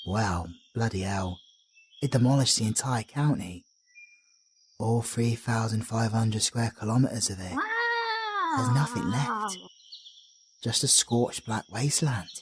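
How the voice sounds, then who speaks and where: A man's voice: on the low side, sing-song, measured pace, pauses often, slight background noise. A young man with a British accent, voicing a friendly, chatty male traveler companion who rambles and uses matey slang in an audio roleplay. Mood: storytelling.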